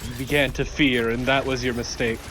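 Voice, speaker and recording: A man's voice: medium pitch, flat delivery, a little fast, fairly bright tone, slight background noise. A man co-commentating on a video game with casual banter. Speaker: let's play co-commentator. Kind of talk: casual banter over gameplay.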